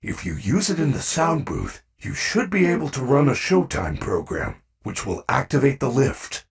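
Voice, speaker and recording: A man's voice: mid-range voice, sing-song, normal speed, creaky, breathy edge, exaggerated voice. A man voicing a friendly, protective animatronic bear in a video game, speaking earnestly in formal, precise phrases. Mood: encouraging, concerned. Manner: formal, precise diction without contractions, earnest. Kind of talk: video game character acting.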